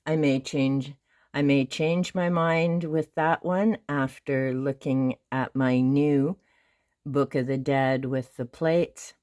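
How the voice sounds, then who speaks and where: Middle-aged woman, Hungarian native, low mellow voice, slow, methodical shelf-tour host. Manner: calm, methodical counting and listing. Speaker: booktube host.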